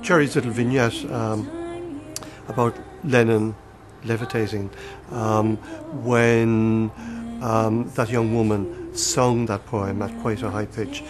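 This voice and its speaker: A man's voice: fairly low pitch, level tone, takes it slowly, music in the background. A man giving a reflective documentary interview, with frequent ums between his thoughts.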